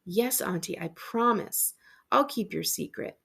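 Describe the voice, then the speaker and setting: A woman's voice: mid-range voice, animated, a little slow, vocal fry, fairly bright tone, husky, exaggerated voice. A woman voicing a polite, curious young niece in a storybook, earnest, tentative and full of wonder. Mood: solemn.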